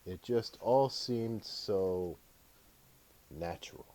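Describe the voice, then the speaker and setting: A man narrating his own fiction as an audiobook, in a measured storytelling delivery. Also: fairly low pitch, a little mellow. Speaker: author reading own book aloud.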